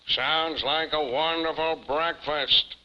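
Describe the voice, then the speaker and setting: A man voicing a droll, sardonic robot puppet with a deadpan drawl in a 1950s television show. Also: fairly high pitch, takes it slowly, full character voice. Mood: dry, sardonic. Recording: muffled, hissy 1950s television soundtrack.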